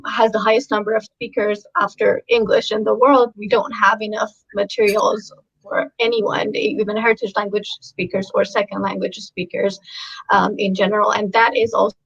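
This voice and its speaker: A woman's voice: medium pitch, flat delivery, moderate pace, breathy edge. A woman researcher, born and raised in Iran with Persian as her first language, talking earnestly in a casual, conversational way with many ums and restarts. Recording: dull lo-fi audio.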